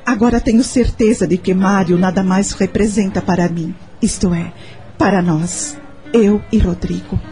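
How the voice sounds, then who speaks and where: An actress playing a modest, gentle single mother being courted in a radio soap, speaking politely. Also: mid-range voice, fairly even intonation, moderate pace, soft background music, close to an everyday voice. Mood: sincere, firm. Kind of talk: Brazilian radio soap acting.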